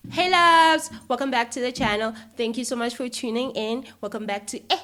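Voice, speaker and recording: A woman's voice: on the high side, normal speed, fairly bright tone. A woman with a South African accent talking casually and conversationally as an interview guest. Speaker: interview guest, filmmaker and YouTuber.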